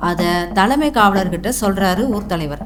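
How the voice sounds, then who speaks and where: Lady narrator telling a folktale, medium pitch, music clearly in the background. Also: lively intonation, normal speed. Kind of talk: scripted storytelling narration.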